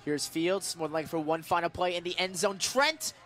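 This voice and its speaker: Male radio play-by-play announcer, high-pitched, excitable football caller who draws out the big moments. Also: a little fast, over music. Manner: excitable, shouts and stretches words on big plays. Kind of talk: live football play-by-play commentary. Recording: live radio sports broadcast.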